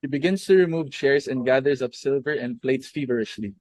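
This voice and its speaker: A young man reading the stage directions aloud in a plain, steady way during an amateur dramatic reading. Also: mid-range voice, brisk, no room sound.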